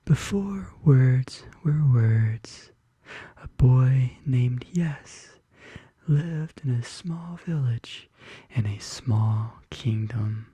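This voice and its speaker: A soft-spoken ASMR host murmuring and whispering intimately and slowly in a soothing bedtime-story style, close to the microphone. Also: mid-range voice, animated, breathy edge, no echo. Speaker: ASMR video creator and host. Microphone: close studio condenser microphone.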